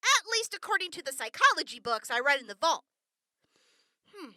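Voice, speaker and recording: Woman playing a very high, bright-voiced brash young raider. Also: very animated, moderate pace, no room sound, studio quality, exaggerated voice. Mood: stern, scolding. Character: blunt, foul-mouthed young woman raised among vault raiders. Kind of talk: post-apocalyptic audio drama acting.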